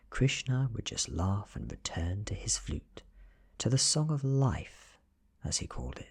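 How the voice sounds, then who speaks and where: A British man reading a story in a soft-spoken, hushed and breathy ASMR style, measured and deliberate. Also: deep voice. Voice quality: breathy, whispery. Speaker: ASMR narrator reading an audiobook.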